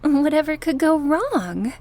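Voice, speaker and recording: A woman's voice: sits high, sing-song, a little mellow, full character voice. A woman playing a cruel, sadistic goddess who taunts sarcastically in a horror ASMR roleplay. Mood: sarcastic. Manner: taunting, sarcastic, slow and drawn out with sighs. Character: sadistic goddess, mocking, cruel, playfully menacing captor.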